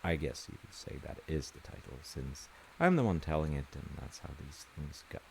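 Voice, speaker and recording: Deep, gravelly male storyteller. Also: sing-song, normal speed, low-quality recording. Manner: wry, conversational, hesitant asides. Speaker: storyteller in an audio roleplay, playing a dad. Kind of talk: casual bedtime folktale storytelling. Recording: hissy recording with rain noise. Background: rain and hiss.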